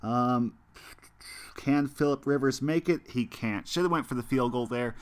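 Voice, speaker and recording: A man's voice: medium pitch, measured pace. A young American man streaming a mobile football game, chatting with viewers in a rambling way with lots of fillers and restarts. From United States. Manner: chatty, talks to viewers while playing. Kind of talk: casual gameplay stream chat.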